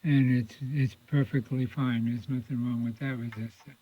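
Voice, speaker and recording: A man giving an informal, folksy repair demonstration, thinking aloud in a halting mutter as he works. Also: medium pitch, fairly even intonation. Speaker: radio repair hobbyist presenting own repair video. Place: indoors at a repair workbench. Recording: muffled phone-like audio, lo-fi.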